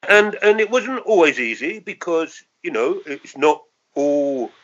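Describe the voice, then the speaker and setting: A man's voice: on the high side, animated, unhurried, fairly bright tone, highs cut off. A man in his sixties with a London accent, reminiscing in an interview in a reflective, hesitant way, often circling back to qualify what he says. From London, England. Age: 60s. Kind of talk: reminiscing in an oral history interview.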